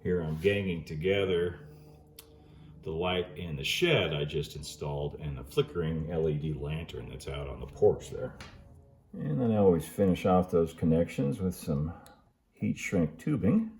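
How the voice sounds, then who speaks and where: A middle-aged man giving a casual, relaxed hands-on tutorial, halting a little as he works in an indoor workshop. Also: deep voice, roomy sound. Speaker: host of model railroad channel.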